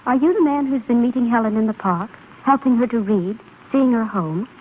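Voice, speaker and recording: An actress playing a protective, solicitous young woman companion with brisk concern in a 1930s radio drama. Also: fairly high pitch, lightly acted. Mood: suspicious, cold. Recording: scratchy, phone-like 1930s radio broadcast recording.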